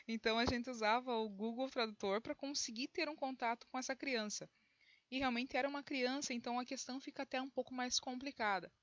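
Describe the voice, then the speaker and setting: A woman from Brazil, a high school geography teacher, explaining a lesson in a conversational way with frequent tag questions and restarts. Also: sharp tone, dry recording, dull audio. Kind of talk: recorded online lesson.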